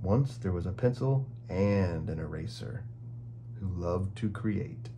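A man reads a picture book aloud for children in a low, soft-toned voice. His phrasing is deliberate and expressive, with lively melody. Faint noise sits behind him. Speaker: children's story reader. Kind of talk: storybook read-aloud narration.

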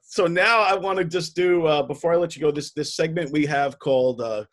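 A man with a Long Island accent hosting a casual video-call interview, chatty and quick to laugh. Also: on the high side, a bit bright. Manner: laughs often, loose and chatty. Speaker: podcast host. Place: home room, video call.

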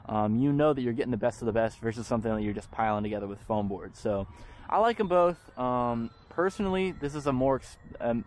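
A young man hosting a hobby channel, talking casually with frequent ums while flying a model plane in an open park. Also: mid-range voice. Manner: relaxed, chatty, frequent ums. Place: open park field outdoors.

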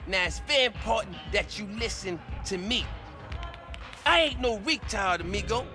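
An actor playing a paranoid, hot-tempered young gangster in video game cutscenes, whiny and shouting. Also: male voice, high voice, animated, moderate pace, over music. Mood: indignant.

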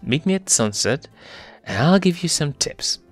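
A man's voice: a little high, very animated, measured pace, dry recording, faint music behind, voice pushed up. A man voicing a feisty young female lion cub in an amateur comic dub, with a scolding, emphatic manner. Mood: teasing. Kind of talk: amateur comic fandub acting.

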